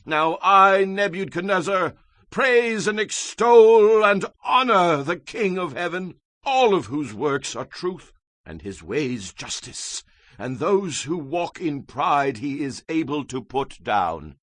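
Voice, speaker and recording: Proud ancient king, acted by a man, solemn and declamatory, widely swinging pitch, dry scripture-drama recording. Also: animated, slow pace, fairly bright tone, no echo. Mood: exalted praise. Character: proud ancient Babylonian king, grandly boastful, solemnly devout.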